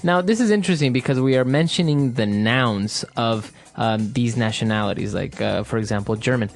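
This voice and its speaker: Upbeat co-host from Ecuador, faint music. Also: male voice, medium pitch, moderate pace. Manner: upbeat, affirming, frequent "that's right". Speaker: podcast co-host, English teacher. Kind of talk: scripted teaching dialogue.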